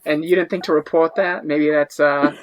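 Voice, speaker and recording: A man's voice: fairly high pitch, fairly even intonation, normal speed, dry recording, natural voice, poor audio. A man plays an impatient detective in an improvised comedy interrogation. He stammers in disbelief and presses on with his questions, exasperated. The recording is dry, with little room sound. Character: exasperated male police detective questioning a witness.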